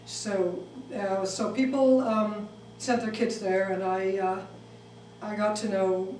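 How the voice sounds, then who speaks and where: A woman's voice: mid-range voice, fairly even intonation, slow pace, darkish tone. A middle-aged woman raised in Switzerland, giving a talk and taking audience questions, with many ums and restarts. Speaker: speaker. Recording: echoey room, mic far off, faint noise.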